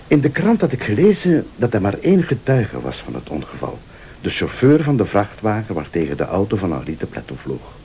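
An actor playing a vengeful rival in a radio thriller, a calm, sardonic accuser who speaks Flemish with deliberate, probing politeness. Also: male voice, lowish voice, slight background noise. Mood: accusing. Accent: Flemish Belgian. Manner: deliberate, insinuating, formally polite.

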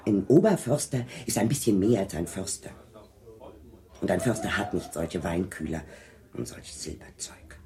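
An actress in a German radio drama playing a prim, indignant, moralizing gentlewoman with a sharp-tongued, emphatic manner. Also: extremely low pitch, expressive, moderate pace, crowd noise.

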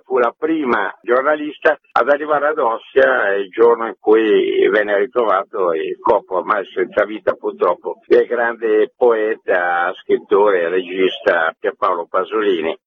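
A man speaking as a festival director in a radio interview, enthusiastically presenting a programme over a phone line. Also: medium pitch. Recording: phone-like line with faint noise.

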